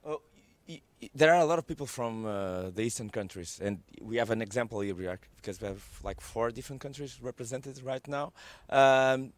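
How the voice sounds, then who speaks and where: A man's voice: medium pitch, lively intonation, fairly quick, hardly any pauses. A man interviewing a group of artists at an event, chatty and hesitant, with many fillers and restarts. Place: event venue.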